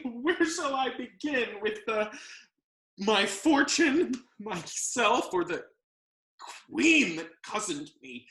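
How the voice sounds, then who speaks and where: A woman acting a foolish, cheated country gentleman in a melodramatic, self-berating stage monologue, in an echoey room. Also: sits high, exaggerated voice. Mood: despairing.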